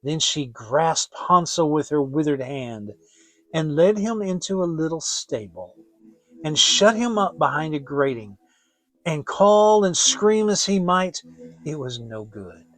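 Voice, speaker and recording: A man giving an expressive, theatrical audiobook reading of a fairy tale as storyteller. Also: fairly high pitch, unhurried, dry recording, rough recording.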